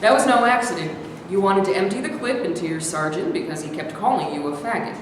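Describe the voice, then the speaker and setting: Female actor as mocking, needling inner voice, blunt and accusing, animated pitch, noisy hall recording. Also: quick pace, natural voice. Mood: accusatory. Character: scornful, needling alter ego of an older man. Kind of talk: staged play reading.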